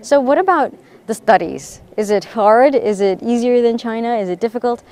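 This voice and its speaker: A woman's voice: fairly high pitch, lively intonation, moderate pace, mellow. A woman reporter hosts a live on-location interview at a university. She keeps things moving with friendly questions and sums up what the students say. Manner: friendly, prompting questions and restating answers. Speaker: news reporter hosting a live stream. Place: university campus in Rome.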